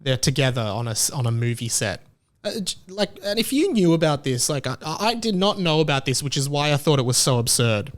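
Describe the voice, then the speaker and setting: A man hosting his own podcast, chatting casually on his own in an animated, rambling way, often restarting sentences and sounding openly incredulous. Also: on the high side, brisk. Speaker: podcast host. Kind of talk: casual solo podcast chat.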